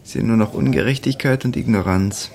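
An actor playing a bitter young idealist in a radio play, curt and reproachful in manner. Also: male voice, mid-range voice, brisk, vocal fry, darkish tone, close to an everyday voice. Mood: bitter, scornful. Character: bitter, scornful young socialist man. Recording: music behind.

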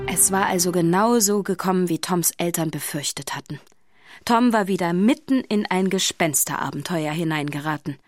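A woman narrating a children's radio play in a wry, measured storybook style. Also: lowish voice, soft background music.

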